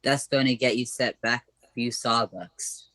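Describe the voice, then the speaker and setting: A man's voice: mid-range voice, fairly even intonation, a little slow, fairly bright tone, lightly acted. A man playing a wisecracking, streetwise buddy in a radio comedy play, teasing and slangy.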